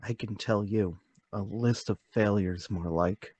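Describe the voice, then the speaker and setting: A man's voice: fairly low pitch, measured pace, darkish tone, breathy edge, lo-fi sound. A man playing a meek, forgetful, troubled former traveling salesman in a radio play, polite, apologetic and sorry for himself. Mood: bitter, troubled. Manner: polite, apologetic, self-pitying. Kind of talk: radio play table read.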